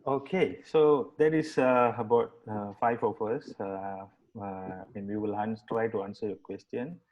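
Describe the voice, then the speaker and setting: A man's voice: fairly low pitch, steady tone, unhurried. A man hosting an online webinar, talking casually in a rambling way with many fillers and restarts. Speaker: webinar host. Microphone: video call. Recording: dull video-call audio.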